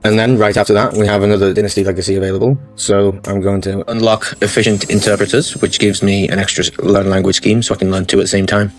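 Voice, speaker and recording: Low, flat-voiced man explaining his game moves. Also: fast talker, few pauses. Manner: matter-of-fact, explains each move step by step. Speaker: gaming channel host. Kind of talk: strategy game playthrough commentary. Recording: faint music bed under the voice.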